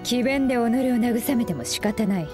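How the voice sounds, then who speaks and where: An actress playing a stern, dignified woman scholar in a game, with archaic, stately speech and a husky voice. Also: a little high, moderate pace, natural voice. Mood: grave. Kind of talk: Japanese game dub acting. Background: game music.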